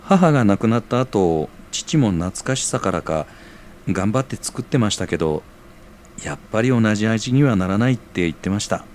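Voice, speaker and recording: An actor plays a modest young working man in a radio play. His voice is fairly low but moves around a lot, gentle and earnest. There is faint background noise. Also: expressive, normal speed, close to an everyday voice. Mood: wistful, earnest. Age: young adult. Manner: gentle, boyish, earnest speech. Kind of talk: audio drama acting.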